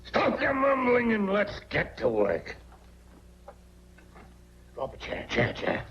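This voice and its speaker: A stingy, domineering old American grandfather from a 1940s film. His creaky voice jumps up and down as he orders people about. The soundtrack is dull, with a faint hum. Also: sits high, huge pitch swings, slow, a bit bright, full character voice. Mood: stubborn, commanding. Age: older.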